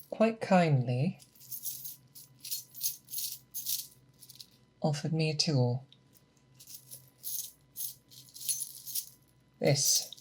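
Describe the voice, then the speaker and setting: A woman playing a seasoned, grave and protective female monster hunter in a slow, hushed, breathy ASMR roleplay, close to the microphone. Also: deep voice. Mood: confiding. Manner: slow, deliberate, hushed, with pauses. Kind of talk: ASMR roleplay, close soft speech and whispers. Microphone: close binaural microphone.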